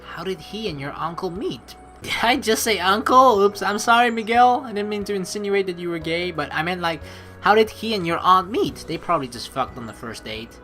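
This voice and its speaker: A woman hosting a let's play, reading game narration and dialogue aloud with playful, expressive commentary. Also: medium pitch, fairly quick. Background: game music.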